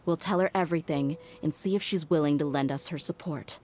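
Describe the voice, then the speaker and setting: A woman voicing a tough young female mercenary in a game cutscene, steady and loyal, thoughtfully reasoning things out aloud. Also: deep voice, brisk, slight background noise, close to an everyday voice.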